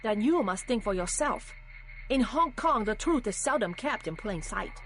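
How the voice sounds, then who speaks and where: A woman playing a sly, poised Hong Kong politician in a video game, with a measured, persuasive delivery. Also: fairly high pitch. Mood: guarded, brooding.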